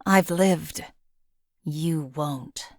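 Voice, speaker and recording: A woman voicing a cold, calculating female assassin companion in a game, with a calm, detached, clipped delivery. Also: sits low, very slow, dry recording, studio quality, full character voice. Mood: menacing. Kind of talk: game voice lines.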